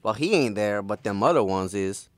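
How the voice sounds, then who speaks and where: Man acting a folksy, animated Western townsman. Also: fairly low pitch, normal speed, no echo, close to an everyday voice. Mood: plain, wary. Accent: Southern US. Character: simple, folksy male townsman bringing the deputy bad news. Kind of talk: audio play acting.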